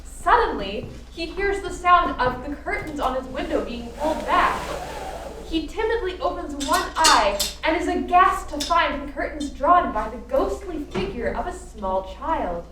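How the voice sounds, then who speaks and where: A woman's voice: sits high, animated, measured pace, pushed and bright, full character voice. A woman narrating a scripted radio play as the storyteller of a ghostly tale. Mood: suspenseful storytelling. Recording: echoey, distant stage recording, faint noise.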